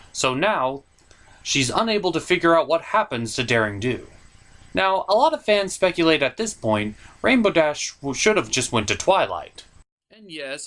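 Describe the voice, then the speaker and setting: A man's voice: fairly high pitch, a bit bright. A man giving scripted, comedic review commentary with theatrical, mock-incredulous emphasis.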